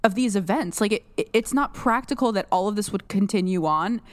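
A woman co-hosting a podcast in casual chat, talking fast with lots of fillers and restarts. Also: mid-range voice, no echo. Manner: chatty, full of fillers and restarts. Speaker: podcast co-host.

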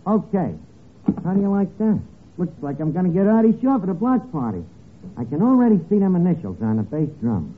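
Brash New York tavern manager, slangy and wisecracking, high swooping delivery, tinny old radio sound with audience. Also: male voice, very animated, brisk, slightly rough, exaggerated voice. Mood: breezy hustling. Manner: slangy, malapropism-prone, streetwise patter. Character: Archie, brash wisecracking male tavern manager, streetwise and unschooled. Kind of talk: 1940s radio comedy acting.

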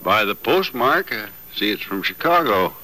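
Teasing, folksy old dad for vintage radio soap; bright tone, very animated delivery. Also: male voice, lowish voice, heavily acted, low-quality recording. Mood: playful teasing. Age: older. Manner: slow, stalling, playful drawl. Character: teasing, stubborn, folksy old foster father. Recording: vintage radio drama recording.

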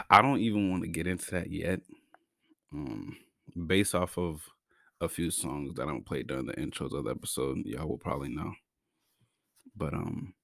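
Very deep, gravelly young man. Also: no room sound, clean studio sound. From Brockton, Massachusetts. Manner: slangy, laid-back, stutters at times. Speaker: podcast host. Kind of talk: casual solo podcast talk.

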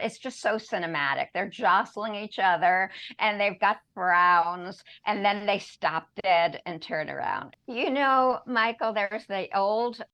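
A woman's voice: medium pitch, fairly bright tone, rough recording. A woman hosting a radio storytelling show, chatting enthusiastically with her co-host. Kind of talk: casual chat with co-host.

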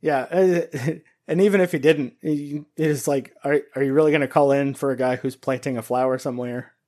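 A man's voice: mid-range voice, steady tone, brisk, warm tone. A man hosting a casual interview podcast in a folksy, informal way. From Louisiana, United States.

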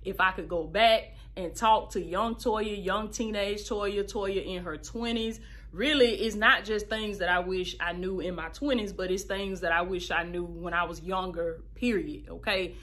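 A woman, a podcast host giving heartfelt life advice in a preachy, animated way, repeating phrases for emphasis and calling listeners baby. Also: medium pitch, a little slow. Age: 30s. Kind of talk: casual advice monologue.

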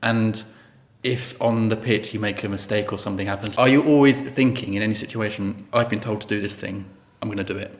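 A man's voice: fairly low pitch, steady tone, a little fast, hardly any pauses, reverberant. A man asking loosely phrased interview questions for a podcast.

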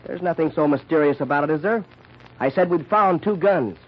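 A man's voice: fairly high pitch, a little fast, slightly rough. An actor playing a prim, accusing young son who needles his family in an old radio drama style. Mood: needling. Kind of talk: 1940s radio acting. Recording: dull lo-fi old radio recording.

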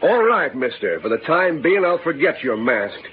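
An actor playing a gruff, suspicious western sheriff with blunt delivery in an old radio drama. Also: male voice, a little high, big pitch swings, normal speed. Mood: wary. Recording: tinny, noisy vintage radio recording.

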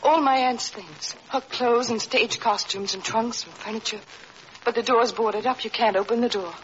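An actress playing a distressed, gaslit young wife in an old-time radio drama, pleading and halting as she speaks. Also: a little high, close to an everyday voice. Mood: fearful. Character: distressed, gaslit young wife doubting her own sanity. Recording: hissy, dull vintage radio recording.

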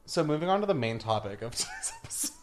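A man's voice: fairly high pitch, wildly swooping pitch, fast, a crowd in the background. Laid-back joking young man. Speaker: podcast co-host. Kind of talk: casual chat.

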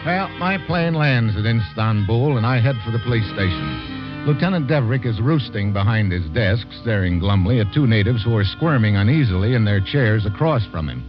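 An actor playing a tough, wry American government troubleshooter in a 1950s radio drama. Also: male voice, on the low side, expressive, moderate pace, lightly acted. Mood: wry, hard-boiled. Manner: wry, wisecracking delivery. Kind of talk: 1950s radio drama acting and first-person narration. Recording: muffled vintage radio recording with music.

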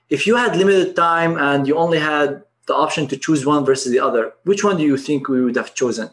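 A male host interviewing a guest on his channel, with a somewhat high voice. He asks friendly, brisk questions. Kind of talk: scripted-sounding interview questions.

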